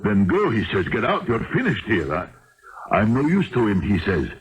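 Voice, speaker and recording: A man's voice: fairly low pitch, wildly swooping pitch, normal speed. A man acting in an old radio drama as a shifty, hard-drinking Russian vagrant, grumbling and rambling, on a noisy old broadcast tape. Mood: bitter grumbling. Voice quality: gravelly. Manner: rambling, muttering, grumbling, swinging to outbursts.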